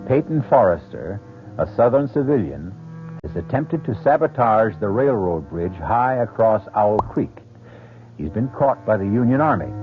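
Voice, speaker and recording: A man narrating as the solemn host of an old-time mystery radio show, deliberate and suspenseful, in a dramatic scripted style. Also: lowish voice. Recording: very dull old radio recording. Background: music.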